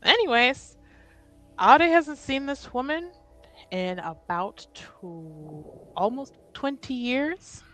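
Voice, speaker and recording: A woman playing a tabletop roleplaying game, narrating her character in an expressive, informal way. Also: a little high, slow pace, soft background music.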